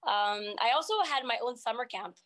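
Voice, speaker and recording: A woman's voice: a little high, brisk, fairly bright tone. Young montreal woman, french canadian accent, french is her first language, chatty guest with lots of ums. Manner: chatty, many ums and restarts. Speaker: guest entrepreneur. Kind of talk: casual interview chat.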